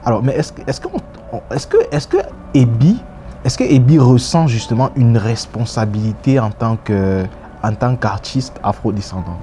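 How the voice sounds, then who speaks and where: A man's voice: mid-range voice, lively intonation, moderate pace, slight background noise. A man hosting an interview, asking long questions with many stammered restarts and frequent affirmations. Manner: stammering restarts, warm affirmations, laughs along. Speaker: interview host. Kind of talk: casual interview conversation.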